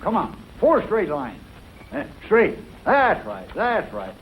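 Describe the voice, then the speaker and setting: An actor playing a kindly, folksy old rancher in a 1940s western style. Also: male voice, high voice, huge pitch swings, slow, a bit bright, heavily acted. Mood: bustling. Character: kindly folksy old rancher who takes in stray boys. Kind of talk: 1940s western film acting. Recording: muffled, hissy old western soundtrack.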